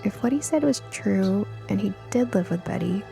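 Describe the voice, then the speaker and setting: Creaky-voiced woman narrating a story. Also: mid-range voice, expressive, moderate pace, dark tone, faint music behind. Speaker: audiobook narrator. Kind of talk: scripted first-person story narration.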